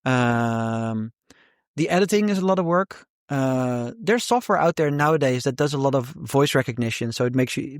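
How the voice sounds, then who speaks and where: A man with a Dutch accent speaks as an interview guest in a relaxed, thoughtful way, often doubling words while he finds his phrasing. His voice sits at a middle pitch. The sound is dry and close, with no room around it. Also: no echo. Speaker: author guest. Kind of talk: casual interview talk.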